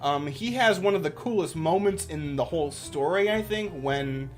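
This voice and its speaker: A man's voice: on the high side, animated, moderate pace, faint music behind. A man giving casual, off-the-cuff commentary as a YouTuber, with an enthusiastic, rambling manner.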